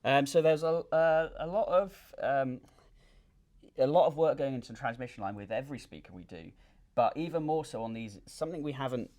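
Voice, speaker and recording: A man speaking as a product designer in an interview, explaining technical details informally with frequent hesitations and pauses. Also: medium pitch, darkish tone. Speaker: loudspeaker designer and company representative.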